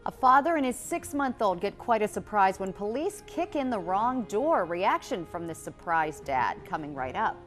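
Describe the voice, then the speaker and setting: A woman's voice: mid-range voice, very animated, normal speed, few pauses, faint music behind. A woman anchoring a television morning news programme in presenter style.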